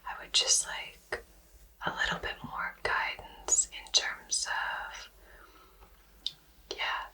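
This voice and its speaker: Female ASMR whisperer, bright-edged and appreciative, slightly off the mic. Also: slow pace, far from the mic, low-quality recording. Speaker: host receiving a tarot reading.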